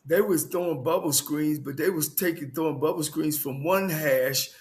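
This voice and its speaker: A man who coached football talks through plays in a direct, no-fuss way. His voice sits high for a man. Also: normal speed, a little mellow. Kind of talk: casual sports talk.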